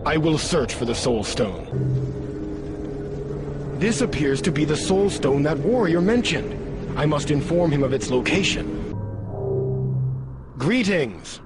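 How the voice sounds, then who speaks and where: A man's voice: fairly high pitch, very animated, a little slow, long pauses, loud music behind the voice. A man voicing an earnest, polite heroic warrior on a quest in a video game cutscene. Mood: helpful. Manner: formal, courteous, earnest.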